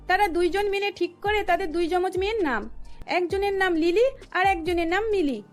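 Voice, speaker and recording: A woman with an extremely high voice narrates a scripted cartoon tale. Faint music runs beneath her storytelling. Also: normal speed.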